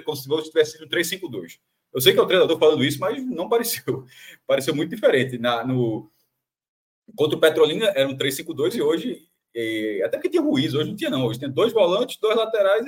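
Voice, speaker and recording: A Brazilian man breaks down a football match in a fast, animated panel chat. His voice sits a bit high for a man, and he restarts sentences and repeats phrases as he argues his point. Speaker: football commentator. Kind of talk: sports analysis panel chat.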